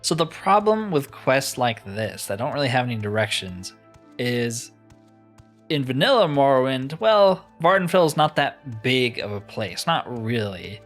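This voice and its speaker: A man plays a fantasy game and talks through it casually as he goes. His medium-pitched voice is conversational and wry, often musing aloud. Faint music plays behind him. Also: very animated, measured pace, fairly bright tone. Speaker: gamer playing and commentating a fantasy roleplay game. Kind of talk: casual gameplay commentary.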